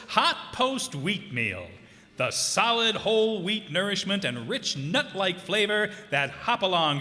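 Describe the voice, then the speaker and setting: A man's voice: high-pitched, pushed and bright, long reverb tail, heavily acted. A man playing a chipper old-time radio cereal announcer. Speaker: commercial announcer. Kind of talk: 1950s radio ad reading. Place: stage before a live audience.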